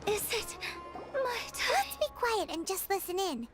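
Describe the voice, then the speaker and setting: Squeaky, tiny fairy companion. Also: female voice, big pitch swings, unhurried, music in the background, heavily acted. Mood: curious. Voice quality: squeaky, childlike. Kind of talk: anime game voice acting.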